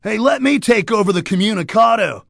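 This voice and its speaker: An actor playing a laid-back, boastful surfer dude creature in a cartoon game, talking in upbeat beach slang. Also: male voice, high-pitched, expressive, brisk, creaky, dry recording, clean studio sound, full character voice. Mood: casual, conspiratorial. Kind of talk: animated game character dialogue.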